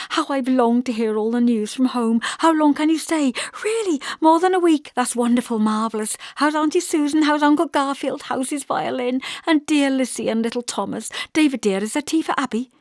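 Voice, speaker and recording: Woman acting gushing, high-pitched excitable young woman. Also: no room sound, clean studio sound. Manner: gushing, rapid questions. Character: excitable, grateful young pregnant woman, gushing and breathless with joy. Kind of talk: audiobook character voice.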